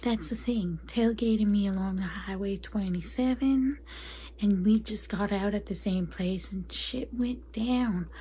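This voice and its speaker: Whispering, mellow, catty vampire consultant played by a woman. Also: medium pitch, measured pace. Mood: flustered, indignant. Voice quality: soft, breathy whisper. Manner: confiding, gossipy, apologetic asides. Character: catty, chatty female vampire transformation consultant. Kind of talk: soft-spoken ASMR roleplay.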